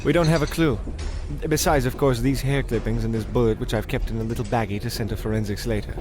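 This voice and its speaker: A man playing a pompous, deadpan famous detective in an old-time radio comedy. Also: mid-range voice, fast talker, music in the background, close to an everyday voice. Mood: grave, dramatic. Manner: pompous, deadpan, literal-minded.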